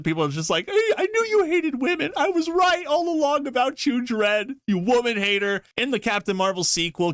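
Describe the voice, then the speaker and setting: A man's voice: a little high, fairly bright tone, no echo, clean studio sound. A man hosting online commentary, reading articles aloud and reacting with emphatic opinions. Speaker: YouTube commentary host.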